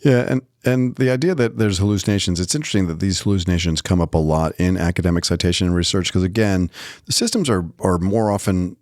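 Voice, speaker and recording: A man with a notably low voice in a close, dry recording. He talks casually as a podcast co-host, explaining things conversationally and checking in with his listener. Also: fast, darkish tone, no room sound.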